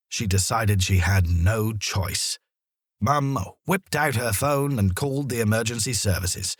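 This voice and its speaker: Lively male storyteller with a husky edge. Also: medium pitch, no room sound, clean studio sound. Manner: dramatic, expressive storytelling. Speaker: narrator. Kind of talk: children's story narration.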